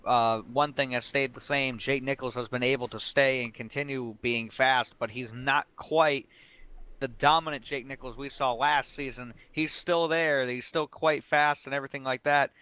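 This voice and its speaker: A man's voice: mid-range voice, fairly even intonation, moderate pace, sharp tone, clear, resonant tone. A man doing live sim racing commentary as a host, mixing analysis with casual banter.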